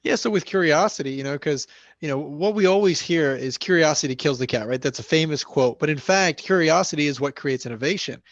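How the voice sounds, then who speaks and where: A man's voice: fairly high pitch, brisk, dry recording, muffled recording. Upbeat podcast host man, dry sound. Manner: hyped, buddy-style, "man" and "you know". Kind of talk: casual podcast interviewing.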